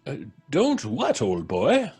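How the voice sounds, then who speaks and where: A man playing a genial, stammering English gentleman card player in an old-fashioned radio drama, with an English accent. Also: mid-range voice, huge pitch swings, slow pace, slightly rough, soft background music, full character voice. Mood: affable, hesitant. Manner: stammering, chuckling, old-fashioned upper-class politeness. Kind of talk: 1930s-style radio drama acting.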